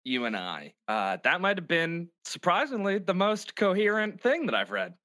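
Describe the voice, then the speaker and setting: A man's voice: high-pitched, huge pitch swings, moderate pace, sharp tone. A man chatting casually about a script reading, thinking aloud in a hesitant way. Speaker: script reader. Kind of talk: casual chat.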